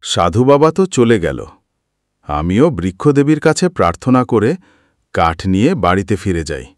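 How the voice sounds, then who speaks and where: A man's voice: lowish voice, very animated, moderate pace, no echo, studio quality. A man voicing a poor, humble village woodcutter in a cartoon, speaking earnestly and plaintively. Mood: musing. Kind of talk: Bengali moral-story cartoon voice acting.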